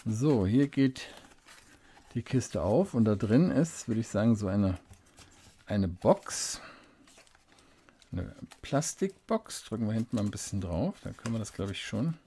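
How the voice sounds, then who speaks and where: Man, casual desk unboxing reviewer, mellow low-key voice, relaxed and unhurried, thinks aloud. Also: breathy edge. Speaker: fountain pen reviewer. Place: indoors at a desk, hands-only camera. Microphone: studio desk microphone.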